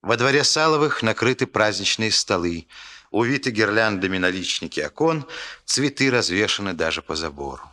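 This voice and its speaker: An actor playing the earnest, solemn storyteller of a Soviet radio play, narrating in an emphatic, rhetorical way. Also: male voice, on the low side, lively intonation, moderate pace, pushed and bright. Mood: scene-setting.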